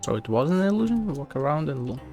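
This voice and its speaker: A man's voice: a little high, big pitch swings, fast talker, mellow. A man giving casual, blunt gameplay commentary, muttering to himself, swearing and reading game text aloud. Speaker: game streamer. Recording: game music behind the voice.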